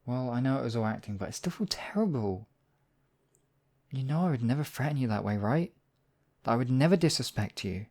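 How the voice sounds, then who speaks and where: A man performs as a demon king in an intimate audio roleplay. The voice is husky and full of gravelly fry, sitting at a middling pitch. Lines are delivered theatrically and deliberately, with lingering pauses, as a possessive yet devoted lover. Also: expressive, darkish tone. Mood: tender, concerned. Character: demon king, menacing captor act turning tender, devoted lover. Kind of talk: intimate ASMR roleplay acting.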